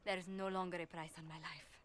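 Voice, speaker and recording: A woman's voice: lowish voice, flat delivery, fairly quick, a little hiss or hum, lightly acted. A woman voicing a poised, formal ambassador. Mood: composed. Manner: formal, composed. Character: poised female ambassador and former bard. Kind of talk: video game voice acting.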